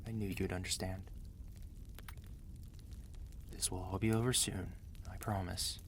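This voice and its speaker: A man playing a protective, gentle but commanding mafia boss husband in an intimate, earnest ASMR romance roleplay, speaking tenderly and steadily to the listener. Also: low voice, flat delivery, a little slow, vocal fry, slight background noise. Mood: firm, caring.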